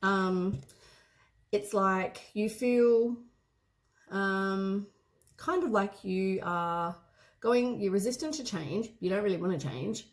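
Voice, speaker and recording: Hoarse woman with a head cold. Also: mid-range voice, takes it slowly. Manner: meandering, often corrects herself mid-sentence. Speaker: tarot card reader. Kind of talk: casual spoken tarot reading to camera.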